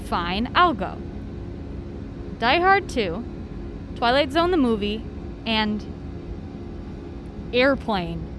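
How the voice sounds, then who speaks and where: High-voiced, plucky woman traveler. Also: big pitch swings, extremely slow, pauses often, exaggerated voice. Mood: curious, breezy. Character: plucky, practical female traveler, breezily unflappable. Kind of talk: comic radio drama acting. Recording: clear vehicle noise behind.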